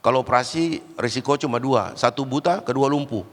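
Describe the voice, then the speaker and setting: A man preaching a Pentecostal sermon while fighting a cold and cough, swinging between loud, raised exhortation and low, quiet asides. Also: brisk. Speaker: guest preacher. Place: large church hall. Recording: church hall with long reverb.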